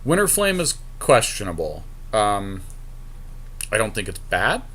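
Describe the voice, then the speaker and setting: A man co-hosting a podcast, chatting casually and informally as he deliberates aloud over a card game draft. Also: fairly low pitch, huge pitch swings, over a noisy crowd. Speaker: podcast co-host.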